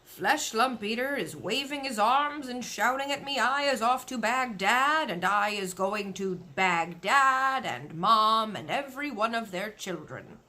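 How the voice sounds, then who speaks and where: Woman voicing a slow, eager friendly storybook giant. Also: a little high, lively intonation, bright, forceful tone. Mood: excited. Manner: slow, drawn-out delivery with quaint broken grammar.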